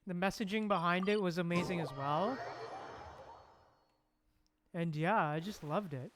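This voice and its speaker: Low-voiced woman streaming a game. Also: measured pace, noisy background. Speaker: game streamer reacting live. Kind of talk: casual live-stream commentary with slang. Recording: game music behind the voice.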